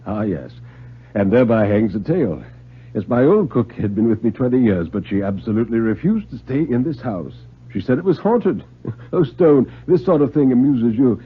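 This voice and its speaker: A man voicing a genial, scheming old retired British police knight, theatrical and deliberate, in a radio drama. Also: medium pitch, sing-song, breathy edge. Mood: genial, sly. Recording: muffled 1950s radio recording.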